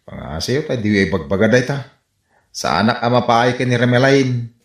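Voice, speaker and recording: A man with an Ilocano accent acting an excitable, pleading man in a rural comedy radio drama. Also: medium pitch, normal speed, echoey room, lightly acted. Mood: pleading, earnest.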